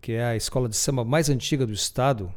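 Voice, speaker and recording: A man with a southern Brazilian accent talks as a host introducing music. His delivery is conversational and explanatory, like someone telling stories, with a mid-pitched voice. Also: fast talker. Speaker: radio host and musician presenting songs.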